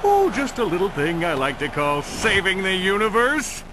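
High, bombastic vain superhero. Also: male voice, very animated, normal speed, noisy background. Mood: melodramatic boasting. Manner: bombastic announcer, melodramatic. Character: vain, cowardly, bombastic male alien superhero. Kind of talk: video game cutscene comic acting.

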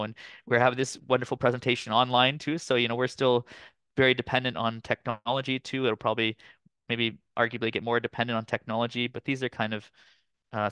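A man from Canada in his thirties giving a relaxed, chatty academic presentation over a video call, with frequent fillers. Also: medium pitch, clean tone, no echo. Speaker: guest lecturer. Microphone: computer mic on video call.

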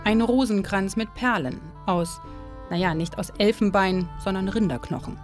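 A woman's voice: medium pitch, normal speed. Woman narrating a documentary over music. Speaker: documentary presenter.

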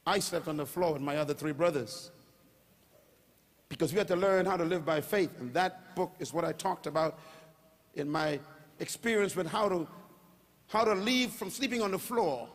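An older Bahamian man preaches from a large stage into a handheld mic. His voice sits high and rises to shouts as he calls on the crowd to answer. A long reverb from the hall trails each phrase. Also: normal speed, long pauses. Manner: preaching with call-and-response, rising to shouts. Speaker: pastor. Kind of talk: sermon.

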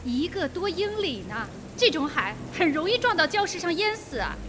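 A woman playing a polite, nervy young woman in a Mandarin radio drama, with expressive delivery. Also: very high voice, normal speed, background noise. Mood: concerned.

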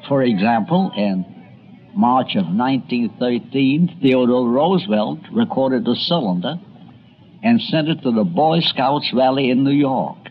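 A man's voice: medium pitch, unhurried, a little hiss or hum. A man reading scripted narration for a radio documentary. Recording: dull lo-fi radio documentary audio.

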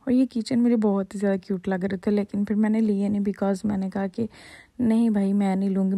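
A woman's voice: medium pitch, vocal fry, warm tone, no room sound. A young woman, narrating her shopping vlog in a chatty voice-over with joking asides. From Pakistan. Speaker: vlogger.

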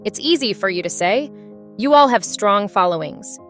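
A woman's voice: medium pitch, expressive, normal speed, a bit bright, faint music behind. A woman voicing an ashamed, sorrowful warplane girl in a scripted drama, earnest and confessional. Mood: bitter, self-loathing. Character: ashamed, battle-scarred female warplane, sorrowful and self-loathing.

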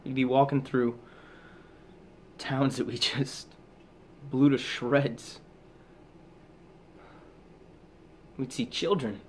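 A man performing a dramatic monologue as a haunted, guilt-ridden soldier back from war, halting and intense, with heavy pauses. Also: mid-range voice, flat delivery, slight background noise, lightly acted. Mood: grim, sorrowful.

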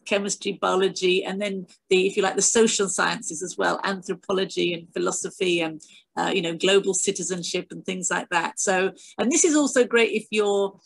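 A woman's voice: mid-range voice, gravelly, breathy edge. A middle-aged British woman giving a chatty online talk on a video call, full of fillers and restarts. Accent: British English. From Britain. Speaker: guest speaker, astronomy educator. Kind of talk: online presentation with slides.

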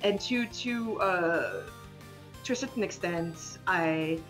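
Woman, slightly low voice, game developer guest, animated, giggly, rambling, casual chat, faint music underneath. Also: slow, poor audio. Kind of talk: casual spoken interview chat.

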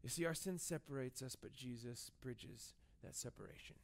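A man in his thirties, a pastor, giving a conversational, animated sermon to his church, tossing in quick "right?" asides. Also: mid-range voice, creaky. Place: church stage with band gear.

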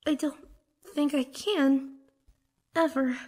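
A woman voicing a guilt-stricken young child in a dramatic comic dub, halting, earnest and sorrowful. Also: high-pitched, fairly even intonation, dark tone. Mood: ashamed, despairing.

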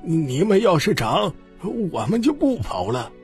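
A man's voice: on the high side, huge pitch swings, unhurried, a little mellow, soft background music, voice pushed up, exaggerated voice. A man voicing an exasperated male commoner grumbling and complaining in an audiobook. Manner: grumbling, exclamatory.

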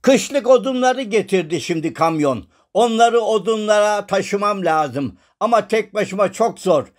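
High-pitched courteous shadow-puppet gentleman. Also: lively intonation, unhurried. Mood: pleading. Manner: theatrical, polite and flowery. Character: Hacivat, courteous, wordy, forgiving man in shadow-puppet cartoon. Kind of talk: animated children's cartoon acting.

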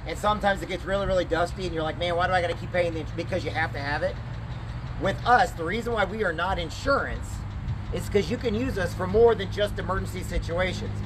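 A young man with a fairly high voice explains things in a loose, conversational pitch. He stands outdoors in a parking lot, filmed from a few steps away. Steady traffic noise runs under his voice. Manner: conversational, frequent "okay?" check-ins. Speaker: legal plan presenter. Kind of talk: informal sales pitch to camera.

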